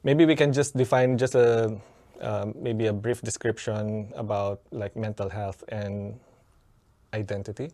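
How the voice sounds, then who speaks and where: A man hosting an interview, asking questions in an informal, halting way with fillers. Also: fairly low pitch, fairly even intonation, warm tone. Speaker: podcast host, interviewer.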